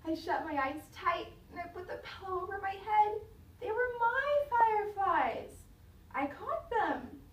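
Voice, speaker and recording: High-voiced woman reading aloud, roomy sound. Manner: dramatic, pausing to question listeners. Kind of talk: expressive children's story read-aloud. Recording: roomy, distant mic, faint noise.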